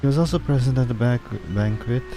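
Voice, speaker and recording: A man's voice: medium pitch, fairly quick, music in the background. A man who streams games, reading on-screen text aloud and commenting with playful, casual asides. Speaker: game streamer.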